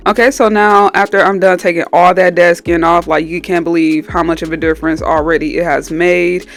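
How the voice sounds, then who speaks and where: A woman's voice: lowish voice, steady tone, a little fast. A woman nail technician with a stuffy, slightly nasal voice from a cold, casually explaining each step in a tutorial voice-over. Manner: chatty, explains each step as she goes. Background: faint background music.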